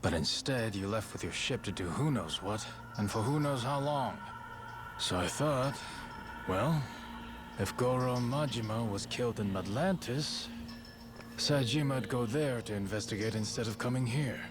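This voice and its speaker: A man playing a frank, weary middle-aged yakuza boss in a video game, talking in gruff, matter-of-fact slang. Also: medium pitch, lively intonation, unhurried, background noise, close to an everyday voice. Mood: resigned, frank. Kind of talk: video game cutscene acting. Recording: loud music behind the voice.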